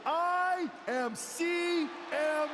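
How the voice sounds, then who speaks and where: A very high-pitched, pompous parody wrestler. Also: male voice, drawn-out words, exaggerated voice. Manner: pompous, theatrical, mocking. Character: boastful wrestler doing a mocking parody of his rival. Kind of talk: shouted wrestling promo acting. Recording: noisy arena ambience.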